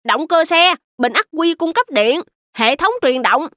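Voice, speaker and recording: A young cartoon child, polite and inquisitive. The voice is high and bright, with a dry, close sound made for children's animation. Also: female voice, animated, unhurried, no echo. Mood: curious.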